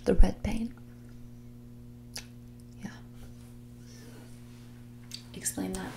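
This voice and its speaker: A woman playing a spoiled, haughty young heiress in a whispered, soft-spoken ASMR roleplay, with a condescending, drawling manner. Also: medium pitch, level tone, drawn-out words, vocal fry, slight background noise, full character voice. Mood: bored, indignant. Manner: snobbish, condescending, drawling, filler-laden valley-girl phrasing.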